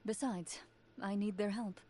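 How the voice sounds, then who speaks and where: An actress voicing a composed, stern but kind female sword-wielding hunter in a video game, speaking in a measured, formal way. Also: mid-range voice, steady tone, a little mellow, a little hiss or hum, natural voice. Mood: calm, encouraging. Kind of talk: English video game dub acting.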